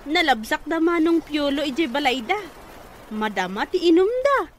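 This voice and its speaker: A woman's voice: high voice, big pitch swings, moderate pace. A woman with a northern Philippine accent plays a polite, sweet young woman in radio comedy drama, in a coy manner. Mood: friendly, curious. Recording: faint background noise.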